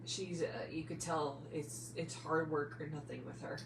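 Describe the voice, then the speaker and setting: A woman's voice: low-pitched, fairly even intonation, normal speed, far from the mic. Earnest American woman in an echoey room. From New Jersey, United States. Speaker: podcast co-host, paranormal investigator. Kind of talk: casual chat. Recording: echoey room, off-mic, faint background hum.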